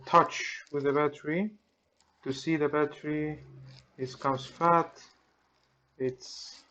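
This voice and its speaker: A man with a Greek accent, speaking English as a second language, walks through a repair and thinks aloud in halting, simple sentences. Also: fairly high pitch, fairly bright tone, dull audio. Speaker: repair technician. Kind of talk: hands-on repair tutorial. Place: workbench.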